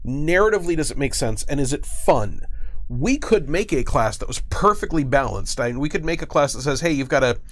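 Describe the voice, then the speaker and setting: A man's voice: a little high, animated, a little fast, dry recording. A man speaking as an interviewed game designer, explaining things casually and methodically, point by point with rhetorical questions. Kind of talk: casual explanatory studio discussion.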